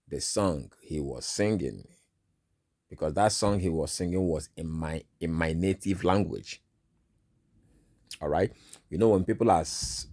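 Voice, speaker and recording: A man's voice: deep voice, dark tone, dry recording, studio quality. A Nigerian man whose native tongue is Igbo, sharing a dream in an informal spoken sermon and dotting his talk with "you know" and "all right".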